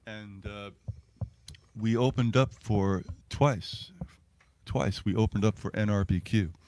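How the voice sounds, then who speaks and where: A middle-aged or older man, a musician, chatting casually to an online audience in a halting, rambling, reminiscing way. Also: lowish voice, a little mellow, dry recording. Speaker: singer and musician hosting a livestreamed set. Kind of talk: casual between-song chat to an online audience.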